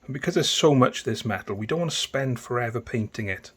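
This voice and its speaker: A man with a thick Welsh accent talking through a painting tutorial in a relaxed, chatty way, with many fillers and restarts. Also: medium pitch, a little fast, husky. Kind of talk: casual step-by-step tutorial narration.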